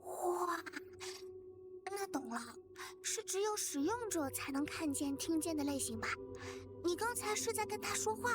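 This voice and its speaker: A very high-pitched young girl from a visual novel. She is expressive and a little impatient, with clear game music playing behind her. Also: normal speed. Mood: curious.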